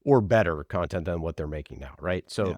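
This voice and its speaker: A man's voice: deep voice, brisk, a little mellow, no room sound. A man talking casually as an interview guest and podcast producer, giving emphatic, opinionated advice and acting out imagined exchanges.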